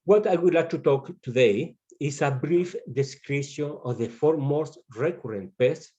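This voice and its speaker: Male presenter, Chilean Spanish accent, unhurried halting English, soft-edged tone, dull online audio. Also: a little high. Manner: halting non-native English. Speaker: pest control specialist presenting. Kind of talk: webinar presentation.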